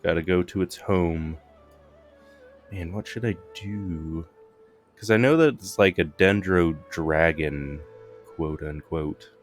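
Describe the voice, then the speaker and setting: A man's voice: sits low, slow, faint music behind. A man giving casual gameplay commentary as a streamer, muttering and thinking aloud. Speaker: game streamer.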